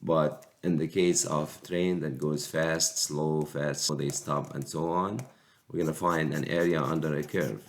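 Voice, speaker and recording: Sick male math teacher, slow halting lecture delivery, very low voice. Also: steady tone. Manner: slow, halting explanation with restarts. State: sick with a cold. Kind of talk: recorded calculus lecture.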